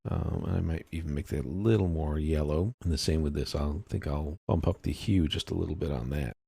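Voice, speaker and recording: A man giving a casual, step-by-step software tutorial, explaining informally and thinking aloud as he works. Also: low-pitched, vocal fry, slightly rough, no echo, clean studio sound.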